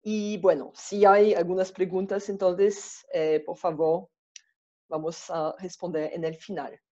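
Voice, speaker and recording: A woman with a Brazilian Portuguese accent gives an online presentation in a slow, careful way, pausing to find her words. Her voice is mellow and unhurried. The video-call sound is dull and lo-fi. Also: fairly low pitch, fairly even intonation. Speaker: webinar presenter.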